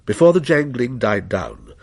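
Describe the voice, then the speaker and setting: A man's voice: medium pitch, sing-song, moderate pace, gravelly, darkish tone, slightly rough. A man narrating a ghost story in a dramatic, literary style.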